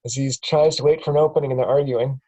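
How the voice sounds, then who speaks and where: A man's voice: mid-range voice, fairly even intonation, quick pace, dark tone, poor audio. A man reading the stage directions aloud at a script table read, plain with small chuckles.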